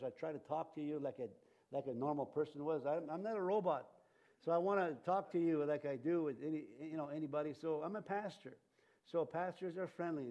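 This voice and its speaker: Male pastor, Cree and Ojibwe first languages, rambling, fairly high, mellow tone. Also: muffled recording. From Canada. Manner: rambling with many fillers and restarts. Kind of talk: sermon. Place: church.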